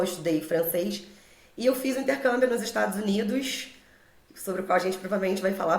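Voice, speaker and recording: A woman's voice: medium pitch, a little mellow. A woman in her forties with a Carioca accent from Rio de Janeiro, telling her story conversationally as a podcast guest and teacher. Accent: Carioca Brazilian Portuguese. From Rio de Janeiro, Brazil. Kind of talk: conversational personal storytelling in an interview. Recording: roomy echo.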